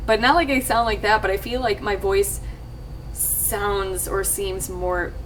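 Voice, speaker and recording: A young woman talking casually to her viewers, rambling with lots of fillers and restarts, her voice a little husky. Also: steady tone, measured pace. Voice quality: slightly husky, low-ish voice. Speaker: YouTube let's player. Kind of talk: casual talk to camera. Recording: distant mic with faint ambient noise.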